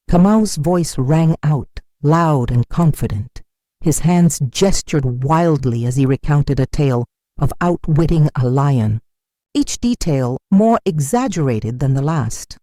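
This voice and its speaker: A narrator delivers a scripted folktale with a solemn, dramatic air. The voice is dark and mellow, at a middling pitch, and very dry and close, with no room sound. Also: no room sound.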